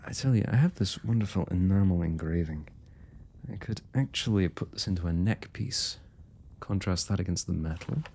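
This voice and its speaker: A man's voice: low-pitched, animated, moderate pace, vocal fry, darkish tone, husky, heavily acted. A man playing a young royal blacksmith in an intimate fantasy roleplay, speaking to the listener in courtly, hesitant, old-fashioned phrasing. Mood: enamored, flustered. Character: young male royal blacksmith, smitten, forthright, proud working man.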